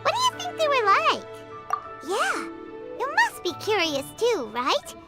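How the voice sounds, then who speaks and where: A woman's voice: very high-pitched, huge pitch swings, measured pace, loud music behind the voice, heavily acted. A woman voicing a tiny, curious female fairy companion in a video game, speaking in a chirpy, inquisitive way. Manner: chirpy, inquisitive, third-person self-talk.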